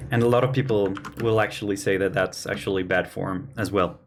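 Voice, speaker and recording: A man hosting a painting livestream, slightly hoarse from losing his voice, speaking earnestly and thinking aloud as he works. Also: on the low side, moderate pace, slight background noise. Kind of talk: casual chat while painting. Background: faint keyboard and mouse clicks.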